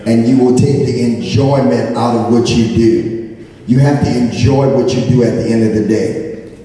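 A man's voice: medium pitch, fairly even intonation, moderate pace, dark tone. A man speaking on a panel in a hotel conference room, earnest and advising. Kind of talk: panel talk. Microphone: far camera, handheld mic. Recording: echoey hall from far off.

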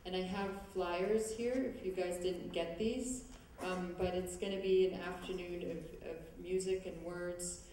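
A woman's voice: fairly low pitch, fairly even intonation, a little slow, few pauses, warm tone, recorded from a distance, a little hiss or hum. Young woman speaking hesitantly in a hall. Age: young adult. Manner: hesitant, many fillers and restarts. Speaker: student organization speaker. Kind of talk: prepared public remarks. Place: large auditorium stage. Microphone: handheld mic, far camera. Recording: echoey hall recorded from afar.

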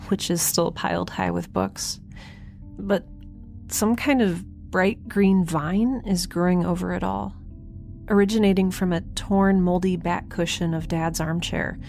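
A woman playing a nervous, wry young scientist who narrates a field log in a halting, conversational way for an audio drama. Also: lowish voice, fairly even intonation, gravelly, darkish tone, soft background music. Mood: uneasy, observant. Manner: halting, self-interrupting, conversational.

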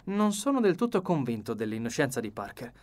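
A man's voice: medium pitch, big pitch swings, a little fast, a little mellow, close to an everyday voice. A man voicing a fussy, methodical little foreign detective in an audiobook, with theatrical courtesy and precision. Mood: confiding, keen. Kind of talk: audiobook character reading.

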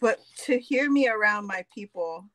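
A woman's voice: mid-range voice, animated, moderate pace, fairly bright tone, no room sound. A woman hosting a live craft session over a video call, chatting playfully and laughing often. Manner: giggly, playful, laughs a lot. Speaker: craft show host. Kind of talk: casual chat while crafting. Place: home craft table, indoors.

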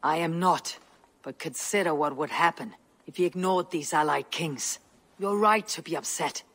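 A woman playing a stern, loyal, battle-hardened Viking warrior with a husky voice and firm, earnest delivery in a video game. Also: low-pitched, moderate pace, fairly bright tone, heavily acted. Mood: reasoning, calm. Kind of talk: dramatic video game acting.

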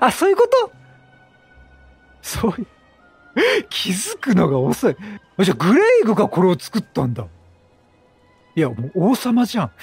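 A man's voice: high voice, breathy edge. A man doing casual let's play commentary, playful and reactive, bantering with the characters in slang. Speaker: gaming streamer. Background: game music.

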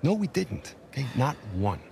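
A man's voice: medium pitch, very animated, moderate pace, gravelly, mellow, a little hiss or hum. A man playing a cocky, streetwise treasure-hunting older brother in a video game, brash and persuasive. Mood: insistent. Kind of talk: naturalistic video game acting. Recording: game ambience behind the voice.

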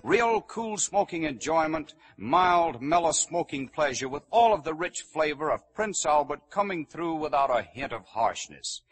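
A man's voice: on the high side, animated, measured pace, hardly any pauses. A man reading a scripted commercial as a polished, persuasive announcer on an old 1930s radio broadcast.

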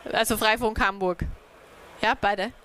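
A woman's voice: mid-range voice, steady tone, a little slow, fairly bright tone, clear, resonant tone. A young woman, a native speaker, giving an informal talk to a small group about community networks, hesitant with many fillers. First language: German. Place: dark event room, on stage.

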